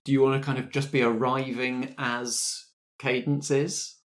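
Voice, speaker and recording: A man's voice: medium pitch, flat delivery, unhurried. A man acting as game master, setting scenes for an improvised tabletop roleplay.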